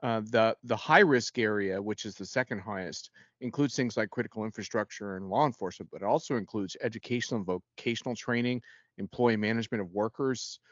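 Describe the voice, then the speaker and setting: A man's voice: fairly low pitch, brisk, no room sound, lo-fi sound. A man speaking as a guest analyst in an unscripted panel discussion, in a conversational way with frequent self-corrections.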